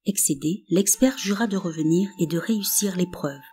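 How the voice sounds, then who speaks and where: A woman narrating a folk tale in a calm, measured storybook reading with soft phrase endings. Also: on the low side, a little hiss or hum. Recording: narration over faint background music.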